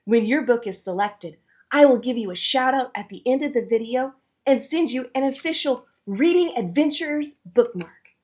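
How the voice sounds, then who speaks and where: Higher-pitched woman hosting a children's show. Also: expressive, moderate pace, darkish tone. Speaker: host of children's read-aloud show. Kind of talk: scripted presenting to children.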